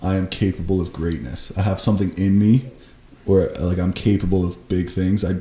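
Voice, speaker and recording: A man's voice: low-pitched, steady tone, moderate pace, warm tone. A man co-hosting a podcast in easygoing, reflective casual chat, with frequent fillers. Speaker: podcast co-host. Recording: echoey room with faint noise.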